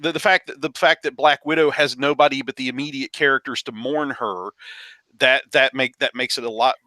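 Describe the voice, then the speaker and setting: A man chatting casually as a podcast panelist about film, haltingly repeating phrases as he builds his point. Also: medium pitch, fairly even intonation, pushed and bright, no room sound.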